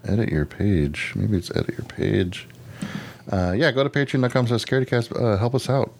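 A man co-hosting a podcast in casual chat, often halting and thinking aloud as he goes. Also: lowish voice, steady tone, vocal fry, a little mellow, a little hiss or hum. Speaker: podcast host.

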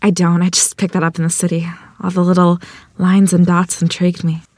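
A woman's voice: fairly low pitch, monotone, fairly quick, darkish tone, husky, no room sound, clean studio sound. A woman acting an eager, shy young rural wife in a screenplay table read, earnest and tentative in manner. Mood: curious, shy.

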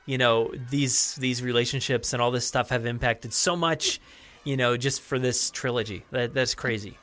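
A man in his thirties hosting a podcast, talking in an excited, rambling way with lots of fillers and restarts. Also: mid-range voice, normal speed, soft background music. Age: 30s. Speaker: podcast host. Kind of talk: casual podcast chat.

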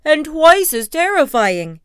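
A woman's voice: high-pitched, sing-song, slow pace, no room sound, clean studio sound, voice pushed up, full character voice. A woman voicing a bouncy, boastful male tiger who whoops and talks boisterously in a storybook reading. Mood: alarmed. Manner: whooping, boisterous, drawled words.

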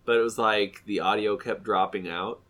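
Guy in his 30s, stuffy nasal voice, kinda low, rambles and restarts a lot. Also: fairly even intonation, normal speed, a bit bright. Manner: rambling, self-correcting. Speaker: podcast co-host. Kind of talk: casual chat.

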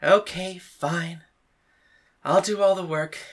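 A woman's voice: fairly low pitch, takes it slowly. A woman voicing a stubborn, earnest, hot-tempered young female fighter in an audiobook story. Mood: grumbling. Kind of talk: audiobook character dialogue.

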